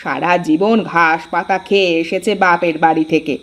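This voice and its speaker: A woman voicing a sharp-tongued elder sister-in-law in a village cartoon story. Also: lowish voice, steady tone, normal speed. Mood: taunting, challenging. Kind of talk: Bengali cartoon dialogue.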